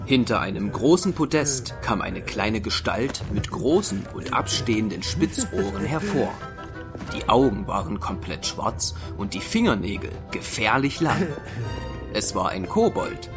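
A man's voice: lowish voice, big pitch swings, measured pace. Male audio drama narrator over music. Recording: music under the voice.

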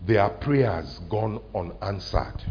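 A man, a pastor preaching a conversational sermon to his congregation, often asking them questions and slowing down to stress a point. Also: on the low side, lively intonation, lo-fi sound. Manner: conversational, asks the congregation questions, slows for emphasis. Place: church hall with congregation.

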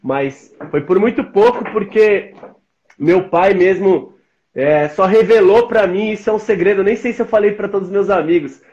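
A man in his forties from São Paulo, with a São Paulo accent, chatting in a relaxed, slangy way as a guest on a live-stream video call. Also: high voice, moderate pace, dull audio. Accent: São Paulo Brazilian Portuguese. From São Paulo, Brazil. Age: 40s. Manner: slangy, laid-back, chummy. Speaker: interview guest. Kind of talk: casual live-stream interview.

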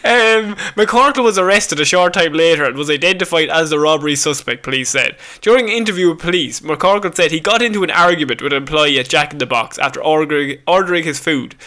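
A man's voice: on the high side, fast, hardly any pauses, bright, forceful tone. A man co-hosting a comedy podcast, reading out a weird news story in a jokey, mock-dramatic way amid casual banter. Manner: jokey, mock-dramatic retelling with acted quotes.

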